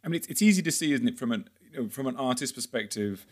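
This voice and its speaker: A man hosting a podcast interview in a conversational, halting way, rephrasing as he goes. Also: mid-range voice, lively intonation. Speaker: podcast host.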